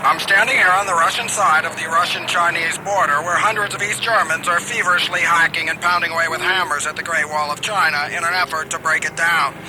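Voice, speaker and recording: A man playing an earnest, deadpan news correspondent in a satirical radio play. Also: fairly high pitch, fairly quick, hardly any pauses, lightly acted. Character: earnest male field correspondent in a spoof news bulletin. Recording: noisy outdoor street ambience with vehicles.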